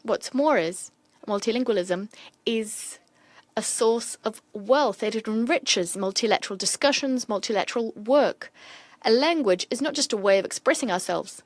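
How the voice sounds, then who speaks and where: A woman giving simultaneous interpretation of formal diplomatic speeches, delivering them steadily in quick bursts between pauses. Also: a little high, dry recording.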